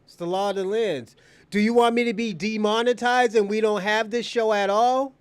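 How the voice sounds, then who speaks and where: Male livestream host, high-pitched, dry sound, opinionated, rambling, casual delivery. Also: fairly even intonation, normal speed, clean tone, no echo. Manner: opinionated, rambling, repeats phrases. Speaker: live stream show host. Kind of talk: casual off-the-cuff livestream talk.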